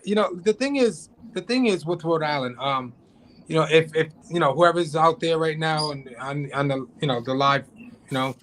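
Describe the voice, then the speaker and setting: A man's voice: on the high side, moderate pace, fairly bright tone, a little hiss or hum, low-quality recording. A man talking as a guest on a live drone show, rambling with many restarts and fillers. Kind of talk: casual chat on a live show. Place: home, cold region.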